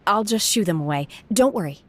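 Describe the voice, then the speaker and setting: A woman voicing a reserved, earnest, socially awkward young female disciple in a video game, speaking softly in measured, formal, sincere phrases. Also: mid-range voice, lively intonation, lightly acted. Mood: calm, caring. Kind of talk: video game character dialogue. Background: background music.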